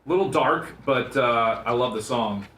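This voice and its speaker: A man's voice: mid-range voice, unhurried, a bit bright, slight background noise. A male radio co-host trading casual, joking banter in a teasing, needling manner, with an echoey room sound.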